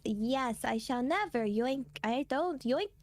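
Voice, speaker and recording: A woman's voice: sits high, expressive, takes it slowly. A woman chatting casually as a guest on a stream, playful and teasing, musing as she goes. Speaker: guest on a friend's livestream. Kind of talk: casual chat.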